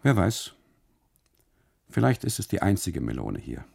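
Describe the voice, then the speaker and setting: A man performing dramatic first-person literary narration in a gravelly, husky voice, expressive and deliberate, with broken phrasing. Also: low-pitched, no echo, clean studio sound. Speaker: narrator of a literary radio monologue.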